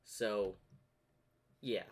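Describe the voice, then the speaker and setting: A man's voice: fairly low pitch, fairly even intonation, very slow, heavy background noise. A man giving casual, off-the-cuff reaction commentary as the host of a video.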